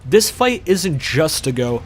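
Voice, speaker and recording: A man's voice: on the high side, sing-song, slow, soft background music. A man narrating a scripted video essay about games in an emphatic, conversational way with sarcastic asides.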